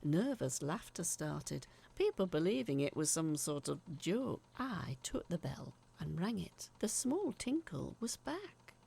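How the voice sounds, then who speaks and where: Woman, breathy and creaky, uneasy confiding narrator, first-person spooky audio story. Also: on the low side, sing-song, unhurried, few pauses, warm tone.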